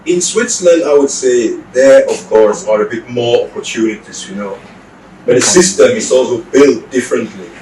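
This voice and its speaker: A young man from Cameroon, an exchange student doing his master's, answering interview questions in a measured, explanatory way. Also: medium pitch, darkish tone. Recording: roomy echo, distant mic, faint noise.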